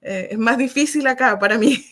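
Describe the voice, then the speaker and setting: Thoughtful Chilean woman, slightly high. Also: a bit bright. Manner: thoughtful, measured, explaining at length. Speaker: guest writer. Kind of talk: live interview chat.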